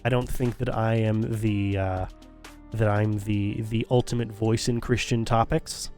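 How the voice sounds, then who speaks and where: A man's voice: fairly low pitch, level tone, measured pace, darkish tone, faint music behind. A male streamer talking casually to his viewers, rambling with many restarts and fillers, his voice strained and still recovering. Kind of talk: casual stream sign-off talk.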